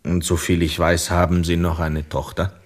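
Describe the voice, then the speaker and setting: Very deep, measured, modest musician played by a man. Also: level tone, close to an everyday voice. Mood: quiet, careful. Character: sober, modest male musician and bandleader, long-lost son. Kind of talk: German radio play acting.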